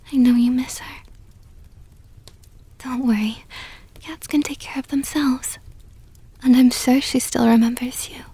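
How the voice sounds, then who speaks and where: A woman voicing a possessive, doting vampire countess in an intimate ASMR roleplay, whispering softly and slowly with a tender, teasing, coaxing manner. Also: a little high, no echo. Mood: soothing, affectionate. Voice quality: breathy, soft whisper. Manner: slow, coaxing, affectionate murmur.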